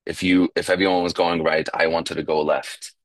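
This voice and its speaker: A young man with an extremely low, deep voice shares his life story at a recovery meeting. He grew up speaking Yiddish in New York and talks in a reflective, halting way. The sound is dry and close. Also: level tone, no room sound. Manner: reflective, halting, with fillers and restarts.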